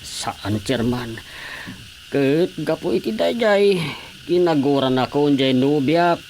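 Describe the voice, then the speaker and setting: Sheepish aging playboy villager, Ilocano accent, lively melodic delivery, eager confessions, radio drama, faint background noise. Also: male voice, a little high. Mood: boastful, confiding. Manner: eager, rapidly repeated agreement, halting confession.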